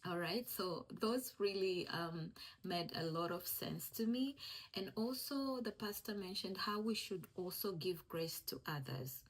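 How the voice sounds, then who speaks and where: Young east african woman vlogging about faith, breathy and kinda low, swahili's her first language, talks like she's encouraging a friend. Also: expressive, a little slow, low-quality recording. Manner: encouraging, conversational, repeats key phrases. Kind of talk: faith-based motivational vlog talk.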